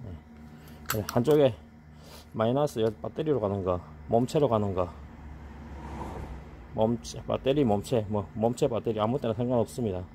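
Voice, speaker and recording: A man's voice: on the low side, moderate pace, pauses often, dark tone. A middle-aged man explaining farm machine repair while he works outdoors, in a blunt, colloquial way that slips into complaints. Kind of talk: casual how-to explanation while working. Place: outdoors on a gravel farmyard. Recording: outdoor ambient noise.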